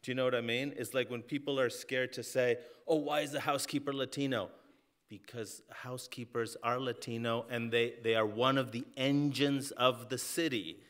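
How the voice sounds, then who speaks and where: A man's voice: medium pitch, steady tone, normal speed, a bit bright. A man from Mexico, a film and TV director speaking on a live panel, reflective and full of "you know" fillers and restarts. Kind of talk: panel discussion.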